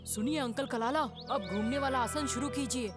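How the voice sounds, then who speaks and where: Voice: sits high, moderate pace, lightly acted. A performer voicing a plucky, confident young boy hero in a cartoon dub, bold and earnest. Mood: cheerful, excited. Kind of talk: animated cartoon dub acting. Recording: music behind the voice.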